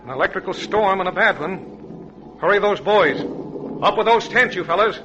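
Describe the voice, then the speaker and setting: An actor playing a commanding, fatherly safari adventurer in vintage radio adventure style, brisk and authoritative. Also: high-pitched, animated, noisy background. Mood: urgent, commanding. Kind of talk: 1940s radio adventure acting. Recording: dull lo-fi vintage radio recording.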